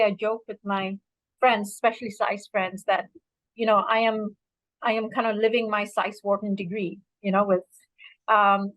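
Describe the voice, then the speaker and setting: A woman's voice: medium pitch, dry recording, dull audio. A woman in her fifties from Bangladesh, speaking earnestly and reflectively to an audience, with many fillers. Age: 50s. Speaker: alumna speaker. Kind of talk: personal talk to an audience.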